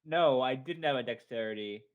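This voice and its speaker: A man's voice: medium pitch, normal speed, narrow, tinny audio. A man playing a tabletop game online, talking casually with the group. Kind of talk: casual chat.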